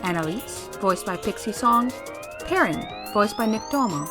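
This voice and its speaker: Animated woman announcer over music. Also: medium pitch, moderate pace. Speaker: announcer reading credits. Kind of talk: scripted closing narration.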